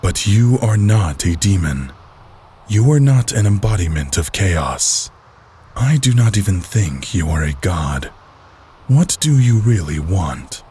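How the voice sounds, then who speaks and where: Very deep, stern game antihero. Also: male voice, big pitch swings, unhurried, dark tone, slight background noise. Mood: sceptical challenge. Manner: grave, blunt, dismissive. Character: stern, sceptical male antihero confronting a villain. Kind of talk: dramatic video game voice acting.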